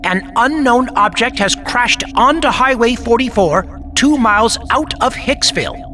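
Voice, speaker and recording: A man voicing a radio news announcer who reads an urgent bulletin in a rapid, clipped delivery. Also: very high voice, lively intonation, sharp tone, faint music behind, voice pushed up, heavily acted.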